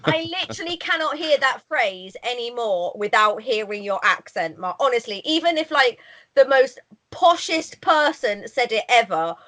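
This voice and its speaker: A woman co-hosts a casual podcast with a bright, giggly manner. Her voice sits fairly high and swings widely in pitch as she chats and teases. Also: lively intonation, normal speed. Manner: giggly, chatty, playful. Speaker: podcast co-host.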